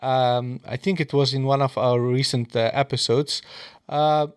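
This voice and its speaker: A man's voice: mid-range voice, steady tone, measured pace, smooth, no room sound, clean studio sound. A man hosting an interview, speaking in a hesitant, reflective way with many filler sounds. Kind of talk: conversational interview talk. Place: Europe.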